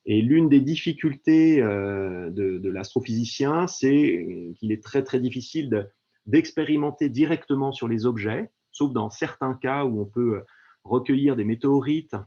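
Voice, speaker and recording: A man's voice: mid-range voice, lively intonation, measured pace, dark tone. A man presenting an astronomy department in an online information session, speaking hesitantly with many fillers and repeated words over a video call. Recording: dull lo-fi video-call audio.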